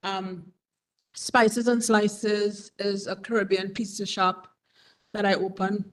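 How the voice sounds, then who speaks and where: A woman's voice: medium pitch, little pitch movement, takes it slowly. Woman, 40s, business owner at a board meeting, talks earnest and plain, circles back on herself. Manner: earnest, conversational, repeats herself. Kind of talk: public comment at a meeting. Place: community board meeting hall.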